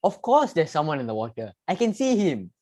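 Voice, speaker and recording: Storybook lion king, played by a young student: puzzled, stubborn, growly, mid-pitched. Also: big pitch swings, fairly quick, darkish tone. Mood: worried, insistent. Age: teenager or young adult student. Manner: growling between lines. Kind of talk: readers theater storytelling.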